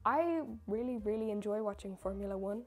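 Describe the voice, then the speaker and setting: A woman's voice: mid-range voice, animated, brisk. A young woman, a baker, answering viewer questions in a chatty, self-mocking way. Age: young adult. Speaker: baker and video creator. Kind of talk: casual question-and-answer chat.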